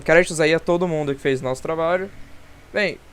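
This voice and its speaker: A man's voice: fairly high pitch, quick pace, clean tone. A Brazilian teenage boy presenting a school project, explaining in a rambling, hesitant way with many restarts. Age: teens. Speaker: student presenter. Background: guitar music.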